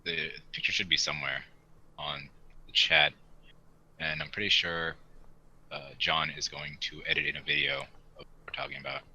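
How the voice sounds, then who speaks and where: A man's voice: very deep voice, flat delivery, normal speed, pauses often, sharp tone, rough recording. A man co-hosting a hobby podcast, chatting casually and enthusiastically in an informal way. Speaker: podcast co-host. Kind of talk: casual hobby chat about model kits.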